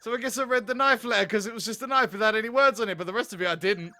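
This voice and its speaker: A man voicing a scatterbrained, cheeky office worker in a dating sim game, blunt and excitable. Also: high-pitched, rapid-fire. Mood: rambling, defensive.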